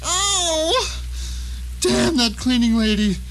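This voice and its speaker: A man acts out a bitter, paranoid blind writer in an audio drama, his voice pitched high. He tells his own story with wry grumbling and theatrical pauses, over clearly audible rain and ambient noise. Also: big pitch swings, fairly bright tone, exaggerated voice, rough recording. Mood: frustrated, alarmed. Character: bitter, paranoid blind male novelist telling his nightmare. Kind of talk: first-person dramatic audio drama narration.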